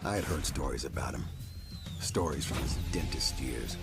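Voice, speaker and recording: An actor playing a grim, defiant American football player, narrating a game story in a brooding, hard-boiled way. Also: male voice, extremely low pitch, moderate pace, vocal fry, over loud music. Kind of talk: scripted noir-like game narration.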